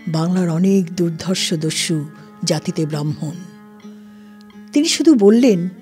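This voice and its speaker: A woman narrating a scripted literary story in a deliberate, formal storytelling manner. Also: lowish voice. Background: soft plucked-string music.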